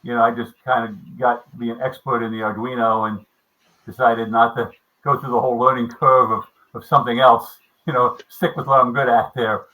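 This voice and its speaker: This is a man in his seventies talking loosely in an online group meeting. His voice is bright and forward, and he wanders through his points with plenty of restarts and fillers. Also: mid-range voice, flat delivery, fairly quick, poor audio. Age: 70s. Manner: rambling, many restarts and fillers. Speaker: maker club member. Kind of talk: casual video meeting chat.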